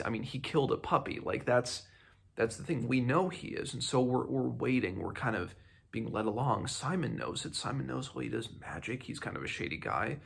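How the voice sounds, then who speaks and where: A man hosting a book read-along, giving casual commentary in a conversational, halting way as he thinks aloud. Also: mid-range voice, creaky, fairly bright tone, husky.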